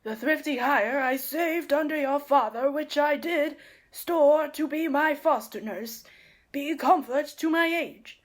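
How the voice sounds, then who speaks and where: Woman playing an anxious old servant man, high-voiced. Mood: earnest, devoted. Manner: earnest, emotive, slow declamation. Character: devoted old male servant, anxious and loyal. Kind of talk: Shakespearean radio play acting.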